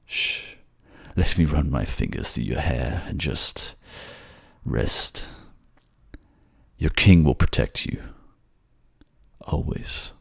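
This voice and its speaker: A man playing a brooding, guarded but devoted warrior king in a fantasy ASMR drama, speaking in a halting, subdued way. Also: lively intonation, gravelly, slightly rough, dry recording, studio quality, full character voice. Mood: tender, vulnerable. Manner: halting, subdued, low-key.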